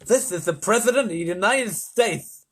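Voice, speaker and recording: A man voicing a pompous, hot-tempered American President in a storybook, barking impatiently in a raspy voice. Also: normal speed, a bit bright. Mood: commanding. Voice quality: deep rasping. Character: blustering, hot-tempered male President of the United States.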